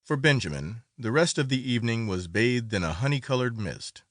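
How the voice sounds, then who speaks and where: A man's voice: medium pitch, lively intonation, moderate pace, no echo, clean studio sound. A man narrating a classic literary story as a dramatic, scripted audiobook reading.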